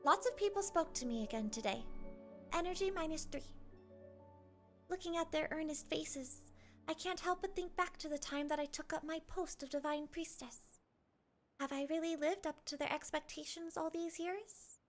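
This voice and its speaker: A gentle young priestess leader from an anime game, high in pitch. She narrates her diary with dutiful, weary earnestness over soft music. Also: normal speed. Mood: troubled, earnest.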